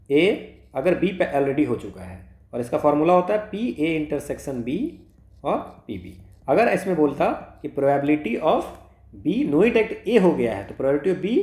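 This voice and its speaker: A man talks a class through math problems, posing a question and then answering it himself. His voice sits a little high for a man. The room around him is echoey. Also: a little mellow. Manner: mixes Hindi and English, asks and answers his own questions. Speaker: exam prep math teacher. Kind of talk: solving exam problems step by step.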